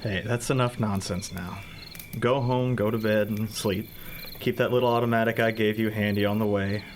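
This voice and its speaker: A man's voice: fairly low pitch, steady tone, normal speed, slight background noise, natural voice. A young man acting in a scripted radio drama as a protective state trooper, brusque with his sweetheart. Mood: dismissive, reassuring. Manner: brusque, reassuring.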